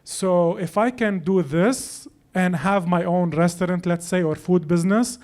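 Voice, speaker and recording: A man's voice: high voice, measured pace, darkish tone. A young man from Lebanon, French his first language, telling his story as a guest chef in an interview. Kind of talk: casual interview chat.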